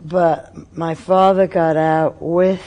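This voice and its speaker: An older woman from Austria recalls her past for an oral history interview. She talks slowly and haltingly, with frequent pauses and fillers. Her voice is warm and mellow. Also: fairly low pitch, little pitch movement.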